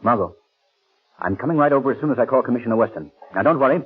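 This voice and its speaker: An actor playing a confident, wealthy young gentleman sleuth in a 1930s radio drama, urbane and gently needling. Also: medium pitch, expressive, rapid-fire, lightly acted. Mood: alarmed, soothing. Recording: muffled, lo-fi 1930s radio recording.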